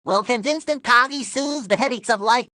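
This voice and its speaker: A performer voicing a pushy, cheerfully menacing cartoon character who pitches like a salesman in short comedy ad skits. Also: normal speed, sharp tone, no room sound, studio quality, close to an everyday voice. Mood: smug.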